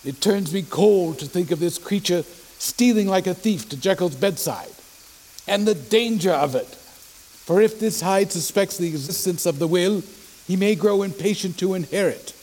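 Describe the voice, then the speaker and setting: An actor playing a worried, loyal Victorian gentleman lawyer with a formal, earnest delivery in an audio drama. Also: high voice, normal speed, rough recording. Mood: grave resolve.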